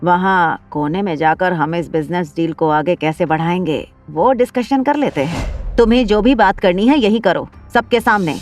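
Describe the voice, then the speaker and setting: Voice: mid-range voice, normal speed, few pauses, soft background music, natural voice. A narrator voicing a scheming middle-aged businessman who bargains pushily in an audio drama. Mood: threatening. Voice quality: smooth, pushy bargaining.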